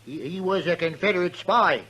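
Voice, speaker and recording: Pompous old army general, high voice, bit stammery, declaiming in an old western radio show. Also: male voice, normal speed, bright, forceful tone, breathy edge, full character voice. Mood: urgent, insistent. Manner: formal, declamatory, slightly stammering. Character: pompous, excitable old ex-cavalry general, male, earnest busybody. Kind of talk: 1950s western radio acting.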